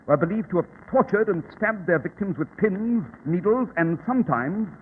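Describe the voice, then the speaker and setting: An actor playing a keen, commanding gentleman detective in a 1940s radio drama, with an incisive, theatrical and precise delivery. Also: high voice, lively intonation, moderate pace. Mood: grave, intrigued. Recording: tinny, noisy old radio recording.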